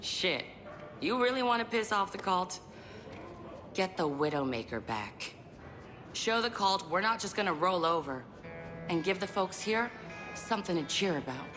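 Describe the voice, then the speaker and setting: A woman's voice: lowish voice, expressive, normal speed, pauses often. An actress playing a tough, plain-spoken rural resistance woman in a video game, blunt and folksy. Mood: defiant, resolute. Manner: folksy, blunt, foul-mouthed. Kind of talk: cinematic video game acting. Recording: loud music behind the voice.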